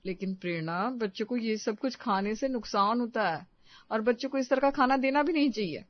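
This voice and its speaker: A woman playing a sensible sister-in-law in a moral story, speaking in a measured, reasoning way. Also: on the high side, no room sound, lo-fi sound, lightly acted. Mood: concerned. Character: sensible, accommodating sister-in-law, quietly resentful. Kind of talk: animated moral story voice acting.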